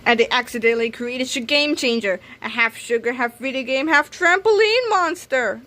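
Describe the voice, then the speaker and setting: A woman reading a children's comic aloud in an animated, dramatic, expressive way, occasionally stumbling and re-reading. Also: sits high, moderate pace. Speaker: read-aloud narrator.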